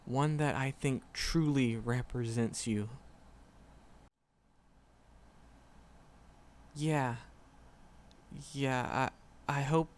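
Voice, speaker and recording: A man's voice: medium pitch, a little hiss or hum, close to an everyday voice. A man playing a shy, flustered, lovestruck magic professor in a roleplay audio drama, stammering and hesitant. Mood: relieved, happy. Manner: nervous, heavy stammering, hesitant, halting. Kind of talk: roleplay audio drama, speaking softly to the listener.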